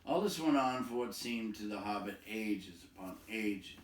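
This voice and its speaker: A man reading a fantasy story aloud in a dramatic, measured style, with suspenseful emphasis and pauses. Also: on the low side, animated, a little hiss or hum. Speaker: storybook reader. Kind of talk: dramatic read-aloud narration of a fantasy novel. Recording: roomy echo, distant microphone.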